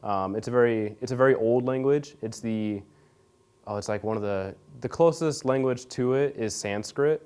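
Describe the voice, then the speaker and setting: A young man from Nebraska answering interview questions in a relaxed, rambling way, full of fillers and restarts. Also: mid-range voice, normal speed, clean tone. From Nebraska, USA. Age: young adult. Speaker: interview guest. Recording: radio studio interview.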